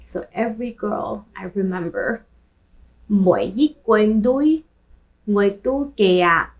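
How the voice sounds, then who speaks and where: A woman who grew up speaking Taishanese, hosting a language lesson where she repeats phrases slowly and translates them. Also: medium pitch, poor audio. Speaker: language teacher and host. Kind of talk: language lesson with film clips.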